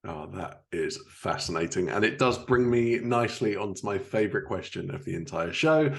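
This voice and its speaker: Low, creaky-voiced male podcast host. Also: animated, moderate pace. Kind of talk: podcast interview.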